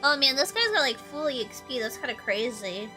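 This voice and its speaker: A woman's voice: high voice, very animated, brisk, pushed and bright. A young woman streaming a game, chatting casually and rambling with lots of fillers, her nose stuffy from allergies. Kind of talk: casual gameplay stream chat. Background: game music.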